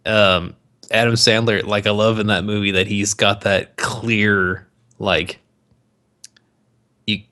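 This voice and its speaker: A man's voice: fairly low pitch, little pitch movement, takes it slowly, long pauses, bright, forceful tone. A man co-hosting a podcast in casual, crude banter about movies, with plenty of swearing. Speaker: podcast co-host.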